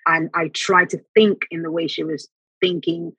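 A middle-aged woman from Nigeria whose first language is Yoruba, telling reflective stories about her writing and repeating words when she gets excited. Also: on the low side, moderate pace, vocal fry, husky, no echo, low-quality recording. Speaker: author guest. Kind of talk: conversational interview.

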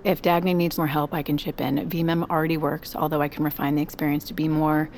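A firm, pragmatic female leader in a survival audio drama. Her voice is lowish and directive, set over clearly audible music. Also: flat delivery, quick pace, a little mellow. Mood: firm, dry. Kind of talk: audio fiction acting.

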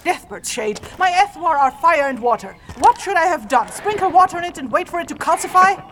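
A woman's voice: extremely high pitch, lively intonation, fairly quick, fairly bright tone, a little hiss or hum. A woman playing a stern, exasperated and analytical rune mage in a fantasy audio drama, matter-of-fact and dismissive. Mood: scolding.

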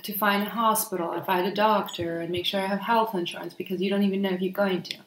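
A woman's voice: mid-range voice, steady tone, fast talker, a little hiss or hum. A woman of twenty-nine in casual conversation at home, answering her partner in a matter-of-fact way. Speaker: partner being interviewed. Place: kitchen, at the table during a meal. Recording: roomy echoing home recording.

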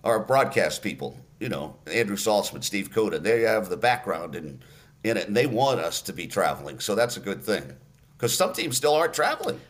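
An older man with a somewhat low voice talks in a relaxed interview. He's a radio play-by-play announcer who tells stories at an easy pace, circling back with fillers and restarts. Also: normal speed. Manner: storytelling, many fillers and restarts.

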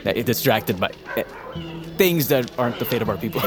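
A man's voice: medium pitch, lively intonation, over music, close to an everyday voice. A man playing a meek, earnest young mountain man in a fantasy audio drama, awkward and stammering. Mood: nervous, smitten. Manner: halting, stammering, hesitant.

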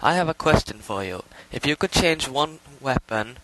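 Guy leaving a voicemail for a podcast, bright punchy voice, faint room noise. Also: mid-range voice, lively intonation, normal speed, low-quality recording. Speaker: listener sending a voice message. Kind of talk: recorded question to a podcast.

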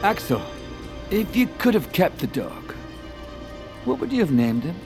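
Controlled, persuasive scientist, music beneath. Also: male voice, high voice, big pitch swings, unhurried, full character voice. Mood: gently coaxing. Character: Finn, controlled, persuasive male scientist and inventor. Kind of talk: audio drama acting.

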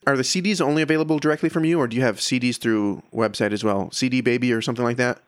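A man interviewing casually, halting and rephrasing his questions as he goes. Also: mid-range voice, fairly even intonation, dry recording, clean studio sound.

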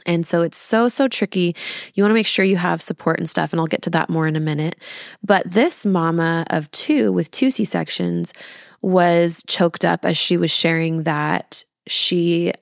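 Woman in her thirties, podcast host, gravelly fry, dry close sound, confiding, emphatic. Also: fairly low pitch, no echo. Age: 30s. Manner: emphatic, with rhetorical questions and drawn-out words. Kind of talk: casual, confiding monologue.

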